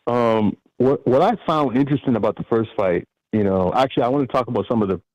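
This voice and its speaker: A man's voice: medium pitch, quick pace, a little mellow, no echo. A man calling into a boxing show, hesitant and full of ums. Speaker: caller. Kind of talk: call-in prediction. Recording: dull lo-fi call audio.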